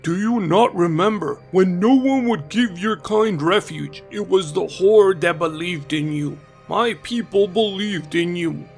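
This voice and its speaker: A man's voice: high voice, unhurried, creaky, hardly any pauses, faint music behind, voice pushed up. A man voicing a huge horned bull-man warrior, noble and anguished, with impassioned, emphatic delivery in a fantasy story. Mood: pleading.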